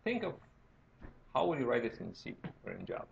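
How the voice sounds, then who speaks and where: A man's voice: mid-range voice, wildly swooping pitch, brisk, vocal fry, a little hiss or hum. A man from Romania with a Romanian accent, lecturing informally as a university teacher and often checking in with "right?" and "okay?". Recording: dull, lo-fi lecture recording.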